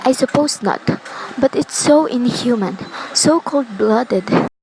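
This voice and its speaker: High-voiced, fretful invalid wife played by a woman. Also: slow pace, a crowd in the background, poor audio. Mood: insistent, distressed. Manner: fretful, indignant, insistent. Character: anxious, demanding, self-pitying invalid wife, easily exasperated. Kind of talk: radio play acting.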